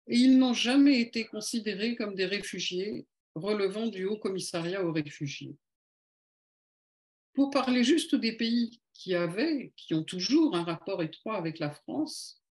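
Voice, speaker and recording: A woman's voice: mid-range voice, a little mellow. A woman giving a polemical lecture at an evening meeting, with sardonic, ironic asides.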